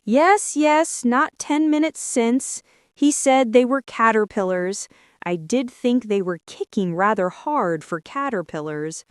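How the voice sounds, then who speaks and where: A woman's voice: on the high side, animated, takes it slowly, clear, resonant tone, dry recording. A young male rabbit from a storybook, as a woman reader puts him on, composed and thoughtful. He reasons aloud in slightly formal phrases. The recording is close and dry. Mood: recollecting. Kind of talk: storybook character voice.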